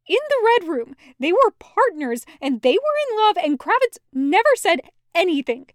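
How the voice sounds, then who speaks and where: A woman's voice: extremely high pitch, very animated, normal speed, no room sound, studio quality, voice pushed up. A woman voicing a hurt, angry sister in an audio story, blunt and emphatic with sweary outbursts. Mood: betrayed, demanding.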